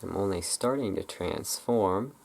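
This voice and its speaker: Low, slow, creaky ASMR voice. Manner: relaxed, rambling, halting and musing. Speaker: ASMR video creator. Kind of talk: ASMR, unscripted rambling about folklore.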